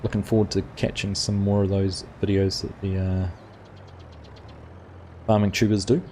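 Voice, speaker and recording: Kiwi guy with a really low voice, laid back stream chat, little bit of hiss behind. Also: normal speed. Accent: New Zealand. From New Zealand. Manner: relaxed and chatty, many fillers, answers chat by name. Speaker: live-stream gaming host. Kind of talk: casual chat reading viewer comments.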